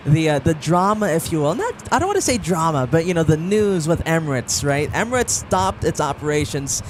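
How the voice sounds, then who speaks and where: Excited plane spotting host guy, voice bouncing all over, outdoors by the runway with traffic noise behind. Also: a little high, fairly quick. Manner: enthusiastic, animated commentary. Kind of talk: live sportscast-style commentary. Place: outdoors beside an airport runway.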